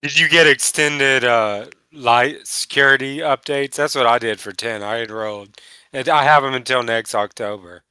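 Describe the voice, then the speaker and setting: A man hosts a laid-back live stream, his voice hoarse and stuffed up from a cold. The tone stays bright and clean, with a dry, close sound, and he talks at length when explaining things. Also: medium pitch, normal speed, dry recording. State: hoarse and congested. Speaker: host playing the game. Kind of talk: casual live-stream chat. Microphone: headset over voice chat.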